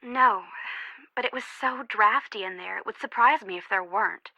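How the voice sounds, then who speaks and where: A woman's voice: medium pitch, normal speed, creaky, no room sound, lo-fi sound. An actress playing a worried, guarded young woman in a video game, friend to a missing girl. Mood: candid, dry.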